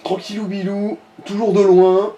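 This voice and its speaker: A man's voice: sits high, little pitch movement, measured pace, warm tone, dull audio. A man giving casual Let's Play commentary, coaching another player in crude, slangy talk. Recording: roomy echo, distant mic, music. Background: game music.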